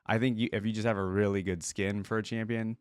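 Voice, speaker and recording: A man's voice: lowish voice, level tone, fast, clear, resonant tone, dry recording, clean studio sound. A man hosting a reaction video, talking informally to viewers and thinking aloud as he comments. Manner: informal, thinking aloud, many likes and you-knows.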